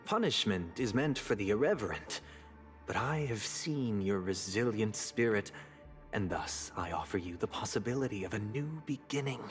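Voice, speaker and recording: Suave sanctimonious villain played by a male actor for a game, low pitch, coldly formal delivery over music. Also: animated, natural voice. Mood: solemn menace. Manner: formal, measured, silkily menacing. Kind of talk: dramatic video game voice acting. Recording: music behind the voice.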